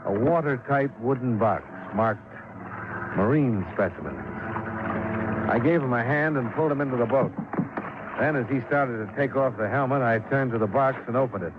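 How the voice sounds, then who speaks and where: An actor playing a wry, tough American insurance investigator in 1950s radio drama, narrating in a dry, clipped, sardonic way. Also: male voice, mid-range voice, normal speed, background noise, lightly acted. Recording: muffled old radio recording.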